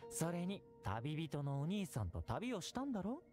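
A man playing a confident, easygoing young warrior and caring elder brother in an anime game, calm and teasing. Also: on the high side, normal speed, soft background music. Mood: gentle, instructive. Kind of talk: anime video game acting.

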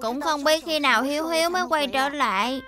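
A woman's voice: high-pitched, brisk, bright, forceful tone. A woman voicing a small, childlike squirrel with a plaintive manner in a dubbed children's cartoon. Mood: waiting, plaintive. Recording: music behind the voice.

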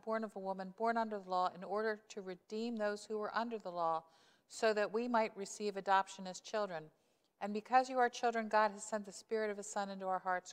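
A woman's voice: mid-range voice, clear, resonant tone. Middle-aged woman pastor preaching in church. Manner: earnest, conversational preaching with emphatic rises. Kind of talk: sermon. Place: church sanctuary, indoors. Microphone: lapel mic. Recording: long church reverb.

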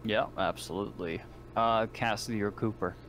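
Deep-voiced man in casual game chat. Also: steady tone, normal speed, a bit bright. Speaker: player in a tabletop game. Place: online voice call. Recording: clearly noisy voice-chat recording.

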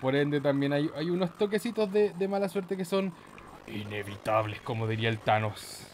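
A man with a Chilean Spanish accent giving casual, excitable let's play commentary in a playful, slangy, exclamatory manner. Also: on the high side, moderate pace, slight background noise. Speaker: gaming YouTuber, host.

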